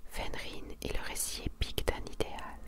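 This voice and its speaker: A woman hosting an ASMR video, speaking softly in a hushed whisper as she presents and comments. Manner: soft, hushed, unhurried delivery. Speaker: ASMR video host presenting a manga collection. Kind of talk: whispered ASMR, reading summaries and casual comments.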